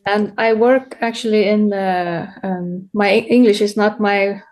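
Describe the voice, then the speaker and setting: Brief: woman caller, hesitant with many pauses. Mid pitch. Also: steady tone, a little mellow. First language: Icelandic. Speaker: caller with a question. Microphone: video call.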